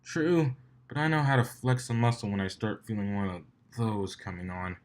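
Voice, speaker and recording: A man's voice: fairly low pitch, normal speed, close to an everyday voice. A man voicing a cheeky, cocky stallion who teases smugly in a story reading.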